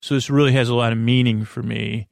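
A man hosting a bedtime podcast in a meandering, halting monologue, often drifting off his train of thought. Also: medium pitch, fairly even intonation, vocal fry, no echo, studio quality. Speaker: podcast host.

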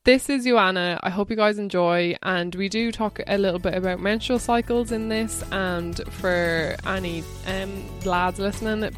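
A woman's voice: mid-range voice, steady tone, moderate pace, hardly any pauses, soft background music. A woman hosting a podcast, talking earnestly and casually with lots of fillers. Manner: chatty, earnest, swears casually, many fillers. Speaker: podcast host.

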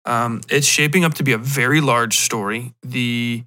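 A man with a bright, forward voice hosts a podcast alone. He talks casually and rambles, drifting into digressions with a self-deprecating touch. His pitch sits in the middle for a man. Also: steady tone, normal speed. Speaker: podcast host.

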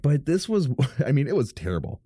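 A man, a pastor, hosting his own podcast from a home studio, speaking in a casual, frank monologue with a fairly deep, smooth voice and many restarts. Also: sing-song, a little fast, dark tone, no echo. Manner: rambling, frank, self-correcting with many restarts. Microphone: studio microphone.

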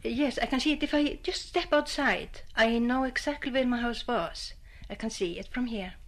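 A woman's voice: a little high, moderate pace. Earnest woman recalling. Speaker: interviewee, local resident. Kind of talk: interview recollection.

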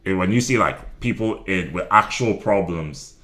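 A young man with an extremely low, deep voice talks loosely about his comedy career. He rambles a little, with frequent ums and self-corrections, in a relaxed conversational way. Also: normal speed. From Nigeria and Ireland. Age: 20s. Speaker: stand-up comedian guest. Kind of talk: casual interview chat.

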